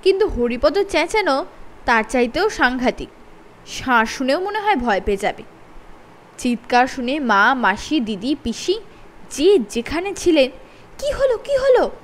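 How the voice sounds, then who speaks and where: High, lively woman storyteller. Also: unhurried, a little hiss or hum. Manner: expressive, sing-song storytelling with playful emphasis. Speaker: storyteller and channel host. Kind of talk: reading a children's story aloud.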